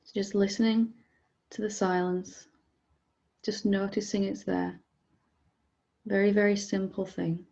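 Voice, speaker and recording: A woman leading a guided meditation as a teacher, speaking gently and calmly with unhurried pauses, softly giving instructions. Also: mid-range voice, creaky, highs cut off.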